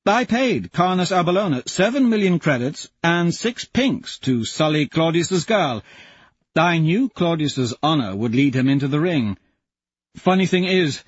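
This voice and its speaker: A sneering young nobleman with a cold, mocking edge, as a male audiobook reader plays him. The voice sits well above the reader's own pitch, louder and sharper. The recording is dry and a little dull. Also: breathy edge, dry recording.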